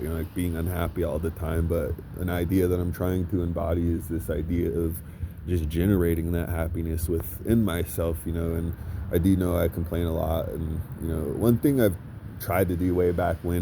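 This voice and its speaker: Profane ranting man, low voice, traffic noise. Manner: blunt, profane, sarcastic mock quotes. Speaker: vlogger.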